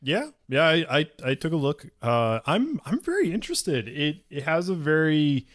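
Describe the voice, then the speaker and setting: A man co-hosting a podcast in casual chat, speaking in a measured, somewhat hesitant way. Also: fairly high pitch, wildly swooping pitch, a bit bright. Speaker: podcast co-host.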